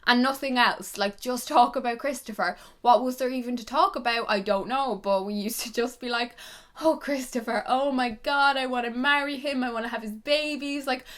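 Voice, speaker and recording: A young Irish woman chats straight to her viewers, her voice sitting a little high. She talks quickly and with plenty of life, telling stories from her own past. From Ireland. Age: young adult. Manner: animated, fast, full of "like" and "literally". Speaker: YouTuber. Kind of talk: chatty tag video storytelling.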